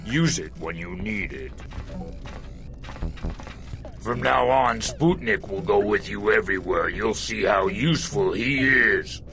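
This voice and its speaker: A man playing a gruff older robot mechanic in a video game, kind but wary, blunt and unhurried. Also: fairly low pitch. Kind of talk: scripted video game cutscene acting.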